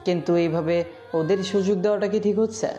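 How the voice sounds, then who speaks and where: Woman acting wary gangster aide, dark low voice. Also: steady tone, fast, muffled recording, faint music behind, close to an everyday voice. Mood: wary, dutiful. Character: loyal wary male gangster aide, deferential. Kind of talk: amateur Bengali audio-story acting.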